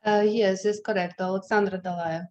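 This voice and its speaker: A woman's voice: mid-range voice, steady tone, moderate pace, a little mellow. A woman with a Ukrainian accent, a non-native English speaker and student panelist, giving a semi-formal personal account in hesitant, careful phrasing. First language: not English. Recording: video call with faint background noise.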